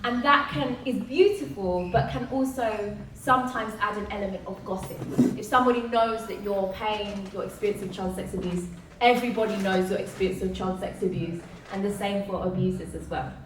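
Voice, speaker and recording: A woman's voice: mid-range voice, fairly even intonation, fairly quick, hardly any pauses. A woman hosting a live community event, chatty and upbeat, often laughing as she talks. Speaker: event host. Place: community event hall, indoors. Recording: echoey room, distant mic, background noise.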